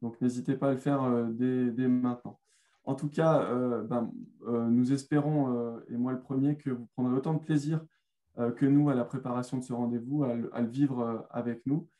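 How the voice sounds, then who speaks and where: A man's voice: mid-range voice, steady tone, normal speed, dark tone. A man hosting and moderating a webinar over a video call, speaking hesitantly with many fillers. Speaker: webinar host.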